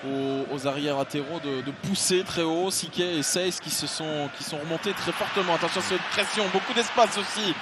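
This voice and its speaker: A man giving live play-by-play football commentary, calm in analysis and shouting at goals. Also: fairly high pitch, fairly quick, few pauses. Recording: loud stadium noise behind.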